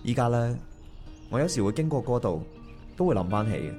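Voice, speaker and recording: A man's voice: medium pitch, sing-song, brisk, warm tone, lightly acted. A man acting as a regretful, hesitant married man in a Cantonese radio drama, talking conversationally. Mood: wistful. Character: regretful, hesitant married man meeting his first love. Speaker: voice actor playing the male lead. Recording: music under the dialogue.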